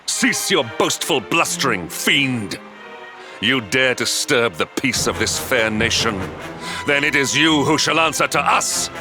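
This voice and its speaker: Male actor as resolute video game hero, mid pitch, formal, stern, grandiloquent, music behind. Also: animated, a little slow, lightly acted. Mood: defiant. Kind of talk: video game fantasy acting.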